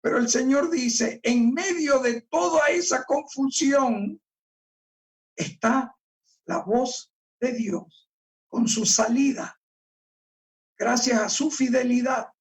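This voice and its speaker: A male pastor delivers a sermon, reading verses slowly and pressing on each key word. His voice is recorded from a distance in a church, so it sounds a little removed. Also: very high-pitched. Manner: slow, deliberate, emphatic, stresses key words. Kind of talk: sermon, reading and explaining scripture.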